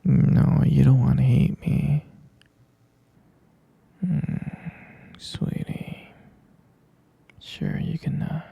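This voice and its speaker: A man playing an obsessive, possessive boyfriend and captor in a whispered ASMR roleplay, slow and coaxing, with a breathy, gravelly voice close to the microphone. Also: mid-range voice, steady tone, highs cut off, full character voice. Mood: pleading, possessive. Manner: slow, coaxing, cooing endearments. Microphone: close microphone, dry.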